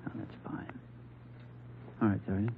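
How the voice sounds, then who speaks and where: A man playing a gentle, protective hospital doctor in an old radio drama, courteous and careful. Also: a little slow, a little hiss or hum, close to an everyday voice. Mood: soothing. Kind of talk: 1950s radio drama acting. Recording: muffled old radio recording, faint music.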